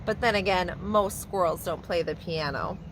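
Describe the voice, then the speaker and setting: A woman preschool teacher reading a picture book aloud to young children in a warm, expressive storytelling manner. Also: on the low side, moderate pace, background noise.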